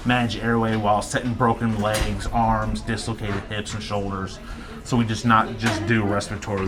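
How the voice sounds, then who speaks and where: A man working as a respiratory therapist, explaining his work in a plain, casual way with occasional fillers. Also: fairly low pitch. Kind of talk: casual workplace interview.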